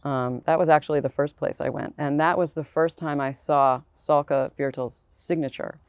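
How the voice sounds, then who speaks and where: Woman author on a discussion panel: smooth, articulate, reflective; dry, slightly dull lo-fi audio. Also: low-pitched, fairly even intonation, moderate pace, no echo. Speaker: author, biographer guest.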